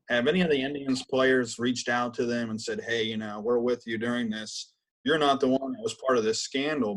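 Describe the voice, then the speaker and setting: A man's voice: mid-range voice, poor audio. Guy podcast co-host, regular mid voice, chatty interview questions. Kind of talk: conversational interviewing.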